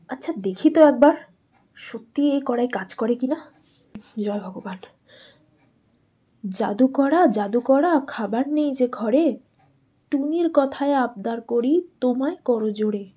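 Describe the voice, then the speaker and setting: A woman's voice: fairly high pitch, animated, measured pace, long pauses, mellow, breathy edge. A woman playing a worried, loving village mother of young children in a dramatized doll story. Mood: anxious, pleading. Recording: muffled audio with faint music.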